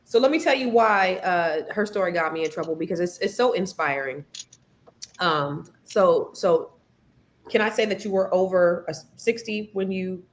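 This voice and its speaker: A woman's voice: fairly low pitch, expressive, moderate pace. A woman hosting a podcast, talking casually and with encouragement, using slang, over a video call. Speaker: podcast host.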